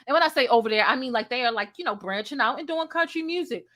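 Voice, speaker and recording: A woman with a deep voice hosting a livestream commentary show, emphatic and talking straight to her viewers. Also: lively intonation, fast talker, pushed and bright. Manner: emphatic, repeats lines for punch, asks the chat questions. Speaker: YouTube commentary host.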